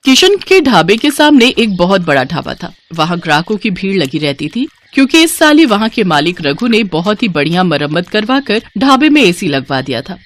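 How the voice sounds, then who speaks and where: A woman's voice: mid-range voice, very animated, moderate pace, few pauses, no room sound. A woman narrating a scripted moral story as its storyteller.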